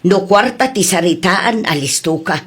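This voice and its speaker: A woman with an Ilocano Filipino accent playing a nagging, sharp-tongued village wife and mother in a comedy audio drama. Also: fairly low pitch, expressive, moderate pace, breathy edge. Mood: teasing. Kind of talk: comedy radio drama acting.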